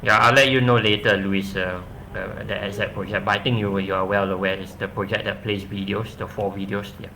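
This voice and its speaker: A Malaysian man talks casually into a laptop mic, low-pitched but bright and forward. He leans on fillers and restarts. A faint hiss sits under his voice. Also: steady tone, brisk, hardly any pauses, poor audio. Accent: Malaysian English. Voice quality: bright, chatty, many fillers. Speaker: presenter. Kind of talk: casual chat in an online event.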